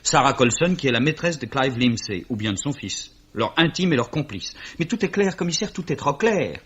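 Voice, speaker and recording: A man's voice: medium pitch, expressive, moderate pace, fairly bright tone, breathy edge. An actor playing a distraught, impassioned young man with emphatic, theatrical delivery in a 1950s-style French radio drama. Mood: agitated, indignant. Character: distraught young Englishman accusing gang of crooks, impassioned. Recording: dull vintage radio recording.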